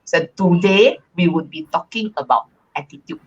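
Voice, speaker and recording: A woman from Malaysia co-hosting a casual live stream, talking in a relaxed, reflective way with many fillers. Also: lowish voice, normal speed, husky, muffled recording. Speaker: co-host.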